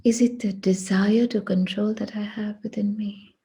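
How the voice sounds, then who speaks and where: A woman guiding a meditation in a hushed, breathy voice, speaking deliberately in short phrases with long pauses. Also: medium pitch, level tone. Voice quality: breathy, husky. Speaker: meditation guide. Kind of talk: guided meditation.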